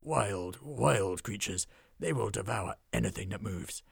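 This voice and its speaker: A man voicing a fierce, burly male dwarf troll slayer who speaks slowly and menacingly. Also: on the low side, animated, dry recording, clean studio sound, full character voice. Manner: gruff, slow and menacing.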